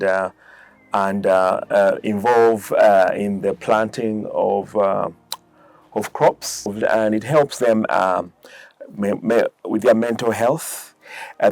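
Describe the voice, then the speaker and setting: A man from a garden project speaking haltingly in a documentary interview, with many pauses and fillers. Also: fairly low pitch, fairly even intonation.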